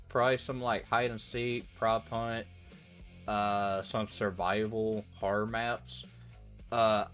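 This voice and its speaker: A man's voice: medium pitch, fairly bright tone. Rambling young man streaming, lo-fi sound. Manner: rambling, laughs easily, reads out chat messages. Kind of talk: casual livestream chat with viewers. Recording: dull lo-fi audio with faint background music.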